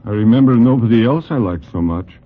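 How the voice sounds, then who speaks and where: An actor playing a naive, earnest exiled king turned boxer, with a foreign accent and halting, stilted English, in 1940s radio style. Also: mid-range voice, lively intonation, close to an everyday voice. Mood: earnest, smitten. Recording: tinny, band-limited old radio recording.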